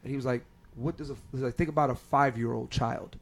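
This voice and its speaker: A man's voice: medium pitch, fairly even intonation, fast talker, vocal fry, slightly rough. A man in his thirties from Philadelphia talks as a guest comedian in a loose, easygoing chat. He rambles in long, winding runs with plenty of fillers and restarts. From Philadelphia area, US. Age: 30s. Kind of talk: casual chat.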